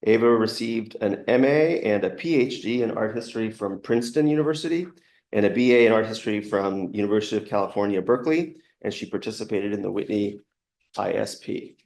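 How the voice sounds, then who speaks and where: A man reading a measured, formal introduction of a guest lecturer in a lecture hall. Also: on the low side, darkish tone. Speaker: event host introducing a speaker.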